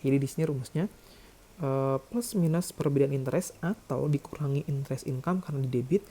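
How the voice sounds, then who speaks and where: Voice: mid-range voice, a little fast, dark tone. An accounting lecturer explaining calculations step by step in a didactic way. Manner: didactic, checks with "ya" and "oke".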